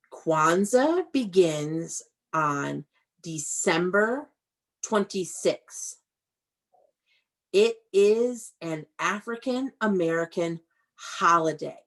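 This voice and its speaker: A woman teaching young children in an interactive online read-aloud, enunciating key words and prompting them to repeat, recorded over a video call. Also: fairly low pitch. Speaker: elementary school teacher.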